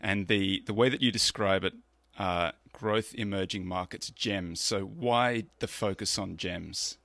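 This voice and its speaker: Low-voiced man, bright and dry. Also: measured pace, vocal fry. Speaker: podcast host. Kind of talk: podcast interview.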